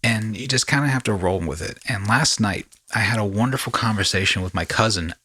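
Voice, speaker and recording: A male podcast host with a fairly low voice and a bright, punchy edge. He rambles through stories conversationally, dropping in slangy asides. The sound is dry and close. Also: a little fast, breathy edge, no room sound.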